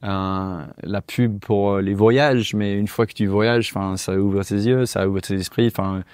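English man speaking halting French. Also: fairly low pitch, fairly even intonation, a little mellow, smooth, no room sound, clean studio sound. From England. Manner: hesitant, searching for French words, many restarts. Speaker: guest. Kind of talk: casual conversational interview. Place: small indoor studio with couch. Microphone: lapel mic.

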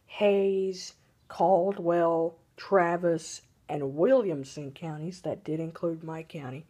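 A man's voice: a little high, darkish tone, husky. A young man with a youthful voice giving hesitant, rambling casual commentary on a handheld phone in a bedroom. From Texas, United States. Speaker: weather radio hobbyist vlogger. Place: small bedroom at home, by a window. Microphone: handheld phone camera.

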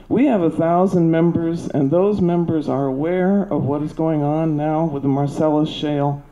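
Older woman, soft and mellow-toned, earnest and a little halting, handheld mic in a meeting hall, muffled sound. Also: low-pitched, a little hiss or hum. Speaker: public commenter. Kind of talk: prepared public comment. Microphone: handheld microphone.

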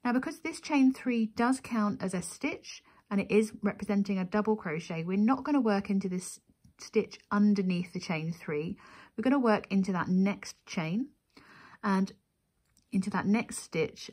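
A woman with a slightly husky voice at a middle pitch guides listeners through a craft tutorial. She goes methodically, one step at a time, and counts aloud as she works. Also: moderate pace, darkish tone. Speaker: crochet tutorial instructor.

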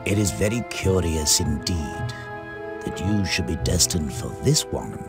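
An actor playing an eerie, courteous old British wand shopkeeper in a video game, speaking deliberately with theatrical pauses. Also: male voice, sits low, lively intonation. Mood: mysterious, ominous. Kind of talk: game cutscene acting. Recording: loud music under the voice.

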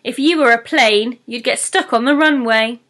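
A woman voicing a tiny, nervous female pixie who blurts out truths in a squeaky, anxious way, in a storybook reading. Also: normal speed, a bit bright. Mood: blurting insults.

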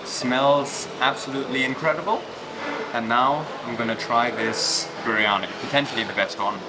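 A man's voice: mid-range voice, steady tone, moderate pace, very noisy. A young man on a travel vlog, filming himself at arm's length and describing a meal with enthusiasm. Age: young adult. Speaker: travel vlogger. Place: indoor snack restaurant. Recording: music bed underneath.

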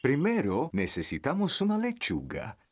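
A man's voice: on the high side, huge pitch swings, moderate pace. A man playing a patient, encouraging young father in a children's cartoon dub.

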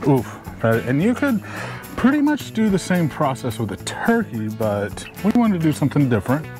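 Chatty man with lively pitch. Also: normal speed. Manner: relaxed, chatty, explains steps. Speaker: cooking show co-host. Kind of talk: casual cooking demo. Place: outdoor campsite. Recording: music playing behind.

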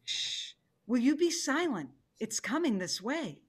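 An imperious tigress from a stage play, acted by a woman. The voice is fairly high and very animated, emphatic and impatient, as befits a proud hunter. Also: a little slow, breathy edge, exaggerated voice. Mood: commanding. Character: proud, impatient female tiger, a great hunter. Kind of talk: theatrical play reading.

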